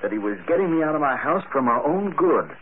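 An actor playing a shaken, rambling victim in an old-time radio drama, explaining himself hesitantly. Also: male voice, fairly high pitch, sing-song, fast talker, phone-quality sound. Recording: thin old radio recording, slight noise.

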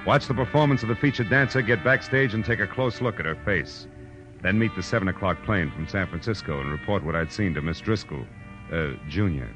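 An American actor playing a wry, world-weary private detective, narrating in punchy deadpan 1940s radio noir style. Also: male voice, sits low, fairly quick, close to an everyday voice. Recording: old radio drama, music underneath.

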